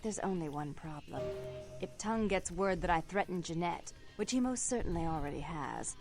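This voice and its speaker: Controlling vampire elder sister, lowish pitch, formal and imperious, clipped diction, game drama, faint music underneath. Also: female voice, lively intonation, normal speed, a little mellow. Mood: cool, businesslike. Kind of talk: dramatic video game voice acting.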